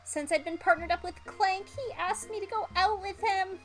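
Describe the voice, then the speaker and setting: A woman voicing an excitable, chatty and dramatic young female best friend in a visual novel game. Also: very high voice, normal speed, faint music behind, pitched above the performer's own voice. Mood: breathless, gossipy.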